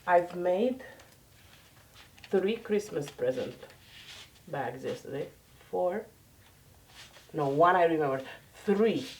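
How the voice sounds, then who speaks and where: A woman's voice: fairly low pitch, animated, takes it slowly, long pauses, darkish tone, recorded from a distance, a little hiss or hum. Nasal woman with a cold, chatting while sewing. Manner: chatty, self-deprecating, talks while working. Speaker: sewing vlogger. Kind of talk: casual sewing tutorial. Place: home craft room.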